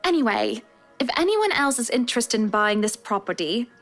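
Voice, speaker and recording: An actress playing a posh, gracious and assertive wealthy wife in a visual novel game, airy and gushing yet commanding. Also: female voice, on the high side, big pitch swings, normal speed, a bit bright. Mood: polite, commanding. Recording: music under the voice.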